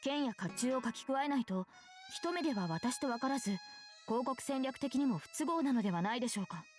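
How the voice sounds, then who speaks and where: Earnest, fast-talking young female knight. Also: high voice, lively intonation, faint music behind. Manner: formal, serious. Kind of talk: Japanese visual novel voice acting.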